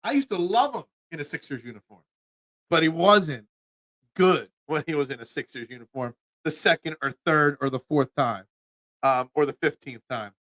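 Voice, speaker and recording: A man's voice: fairly high pitch, animated, normal speed, fairly bright tone, no room sound. A man in his forties with a Philadelphia accent, hosting a solo sports talk show and rambling with stammered restarts as he reads chat. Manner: rambling, stammering restarts, chatty asides to viewers.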